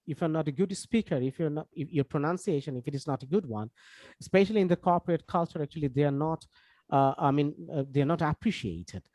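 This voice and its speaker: Bangladeshi guy teaching an online class, bengali native, slightly high voice, halting n repetitive, dry close sound no echo. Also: a little mellow. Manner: halting, repetitive explaining with frequent "I mean" asides. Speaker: English course instructor. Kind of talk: informal online lecture.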